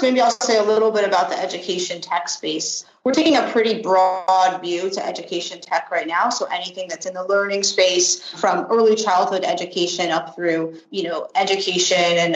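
A woman with a slightly low voice gives structured, explanatory interview answers. She speaks off the cuff, working point by point. The sound is echoey and somewhat dull. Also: normal speed, hardly any pauses. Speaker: startup accelerator manager, interview guest.

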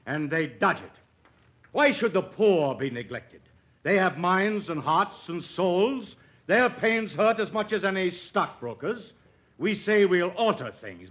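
An actor in an old-time radio drama playing an earnest, idealistic doctor, commanding and oratorical, on a dull vintage recording. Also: male voice, sits high, fairly bright tone. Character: earnest, defiant idealistic physician pleading his cause.

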